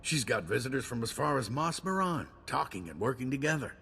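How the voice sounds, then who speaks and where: An actor playing an earnest frontier ally in a video game, heartfelt and encouraging. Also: male voice, mid-range voice, very animated, brisk, a bit bright, slightly rough, exaggerated voice. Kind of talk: video game character dialogue. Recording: long reverb, faint noise.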